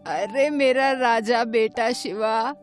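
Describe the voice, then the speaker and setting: A woman's voice: fairly high pitch, takes it slowly, soft background music. A woman voicing a doting old village grandmother in a Hindi cartoon, with emphatic, fond scolding. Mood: doting, proud.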